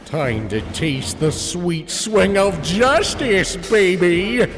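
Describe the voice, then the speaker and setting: A man's voice: sits high, expressive, takes it slowly. A man voicing a pompous, patriotic superhero captain, bombastic and declamatory, in an audio comedy drama. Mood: grandiose. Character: pompous patriotic male superhero captain, broad and square-jawed. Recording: reverberant hall sound under clear music.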